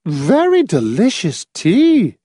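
A woman's voice: mid-range voice, huge pitch swings, takes it slowly, dark tone, husky, no echo, studio quality, voice pushed up, full character voice. A man reading a story, voicing a fussy, gushing, complacent old woman with a prim, matter-of-fact manner. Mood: genteel fussing. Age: older.

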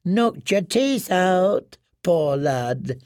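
A man voicing a menacing washerwoman who taunts in a slow, sweetly cruel way. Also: sits high, very animated, a little mellow, no echo, clean studio sound, pitched above the performer's own voice, heavily acted. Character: mocking, menacing older washerwoman.

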